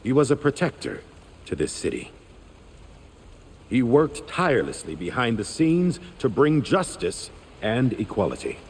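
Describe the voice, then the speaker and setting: An actor playing a grieving, dignified uncle in a video game, delivering a measured, heartfelt eulogy. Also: male voice, medium pitch, big pitch swings. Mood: mournful, proud. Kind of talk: video game cinematic acting. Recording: faint rain and background noise.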